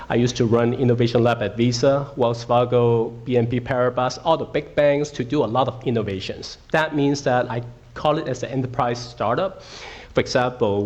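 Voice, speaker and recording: A man's voice: mid-range voice, a little mellow, highs cut off. Middle-aged man giving an interactive school talk. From Hong Kong. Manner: interactive, asks audience questions, frequent okays. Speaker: guest speaker. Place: school auditorium.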